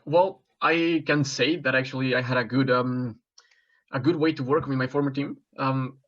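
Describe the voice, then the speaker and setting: Mexican-born man, 20s, job applicant: halting, filler-heavy answers, monotone, slightly muffled audio. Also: mid-range voice. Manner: hesitant, many fillers and restarts. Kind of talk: mock job interview answers.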